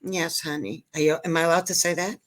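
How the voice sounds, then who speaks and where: A woman's voice: low-pitched, fairly even intonation, quick pace. An older woman born in Israel whose first language was Hebrew, telling her story in a rambling, self-interrupting, joking way as a guest comedian. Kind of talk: casual interview chat.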